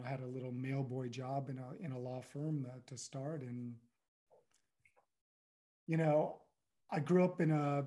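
A middle-aged man from the United States, a guest speaking reflectively in an interview, pausing often and filling with "you know". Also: mid-range voice, dark tone. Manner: thoughtful, halting, many "you know"s.

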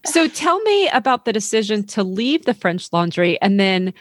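A woman's voice: medium pitch, big pitch swings, moderate pace, dry recording. A woman hosting an interview, listening attentively with frequent murmured affirmations and asking follow-up questions. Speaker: podcast host.

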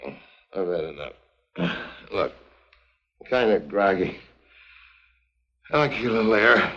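An actor playing a tough, wisecracking American agent with a wry, slangy manner in an early 1950s TV spy drama. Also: male voice, low-pitched, expressive, a little slow, fairly bright tone, full character voice. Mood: weary. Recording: muffled vintage 1950s TV soundtrack.

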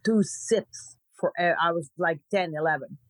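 A mature woman from Croatia with a Croatian accent, telling her story as an interview guest in halting, emphatic phrases. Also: fairly low pitch, no room sound. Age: middle-aged or older. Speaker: podcast guest.

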